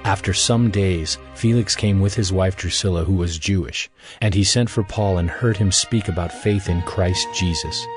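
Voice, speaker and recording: A man's voice: deep voice, normal speed, over music. A man narrating dramatized scripture as a scripted reading.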